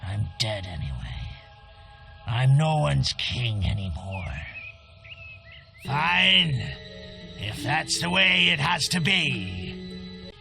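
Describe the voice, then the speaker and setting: A man playing a weary, defiant deposed bandit king in a video game cutscene, with a gravelly voice. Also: medium pitch, very animated, extremely slow, long pauses, heavily acted. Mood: bitter resignation. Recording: music behind the voice.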